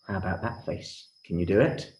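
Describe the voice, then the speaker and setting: A man telling a children's story in a theatrical, deliberate way, speaking straight to his listeners. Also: low-pitched, darkish tone. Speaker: rabbi and storyteller. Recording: roomy, echoing sound.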